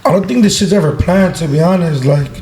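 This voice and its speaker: A man's voice: on the high side, fast, a little mellow, slight background noise. A man in his twenties from Los Angeles, a guest on a casual podcast, talking loosely with lots of slang, fillers and swearing. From Los Angeles, United States. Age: 20s. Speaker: guest, online video creator.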